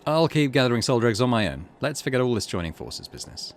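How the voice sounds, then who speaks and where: A man's voice: mid-range voice, big pitch swings, fast talker, slight background noise. A man voicing a menacing, condescending curse-wielding villain from a visual novel, in a cold, taunting manner. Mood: scornful. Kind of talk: reading visual novel dialogue in character.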